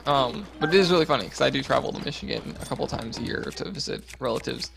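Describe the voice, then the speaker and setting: A man's voice: mid-range voice, animated, fast, vocal fry, music in the background. An American man reading livestream chat aloud and chatting casually, with dry joking asides.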